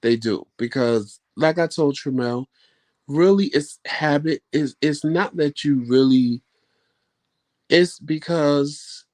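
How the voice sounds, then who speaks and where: A man's voice: a little high, slow pace, a little mellow. Chatty man hosting a live chat. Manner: chatty, rambling, folksy with y'all. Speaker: vlog host answering live chat. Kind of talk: casual live-stream chat.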